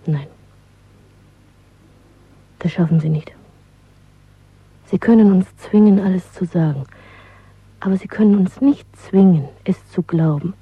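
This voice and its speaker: Radio drama rebel lover: very husky, intimate, self-assured, thin band-limited and dry recording. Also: female voice, fairly low pitch, a little slow, long pauses, dry recording, phone-quality sound. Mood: tender, defiant. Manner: intimate, quietly insistent. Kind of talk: German radio drama acting.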